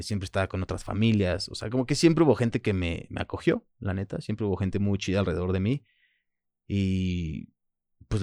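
A man in his thirties with a very deep voice and a Mexican Spanish accent, though French was his first language. He talks in a halting, reflective way, leaning on small fillers between thoughts. The sound is close and dry, with no room around it. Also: a little mellow, dry recording. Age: 30s. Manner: reflective, halting, frequent "pues" and "¿sabes?". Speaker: guest. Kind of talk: personal interview.

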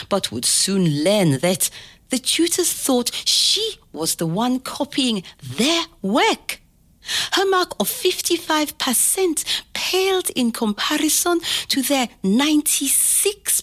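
An older woman telling a family story to a child, slow and expressive, pausing to ask gentle questions. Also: on the high side. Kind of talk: oral history storytelling to a child.

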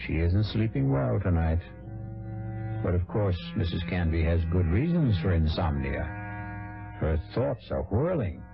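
A man hosting an old radio mystery, narrating with deliberate theatrical delivery. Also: deep voice, sing-song, creaky. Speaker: radio drama host and narrator. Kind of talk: dramatic scripted narration. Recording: dull vintage radio with music.

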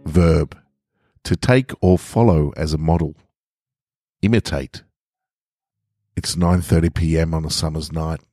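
A man's voice: very deep voice, steady tone, measured pace, long pauses, mellow, husky, no echo, studio quality, faint music behind. An Australian man hosting and reading short stories on a podcast, his voice affected by a lingering head cold. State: getting over a head cold. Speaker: podcast host and story reader. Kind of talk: scripted story narration with casual introduction.

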